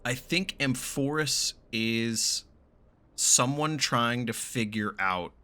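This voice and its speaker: A man's voice: mid-range voice, animated, takes it slowly, bright, forceful tone. A man giving casual, blunt, sweary commentary as he reacts to a video game. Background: game music faintly.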